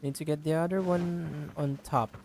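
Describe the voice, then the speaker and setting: A man's voice: fairly high pitch, fairly even intonation, normal speed, darkish tone. A man giving casual gameplay commentary, muttering to himself as he plays, with game sound behind him. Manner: muttering to himself while playing. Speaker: gameplay streamer. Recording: clear background noise.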